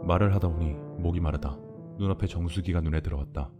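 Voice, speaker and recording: A man's voice: low-pitched, fast, highs cut off. A man narrating a scripted first-person horror story for an audio drama. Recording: music behind the voice.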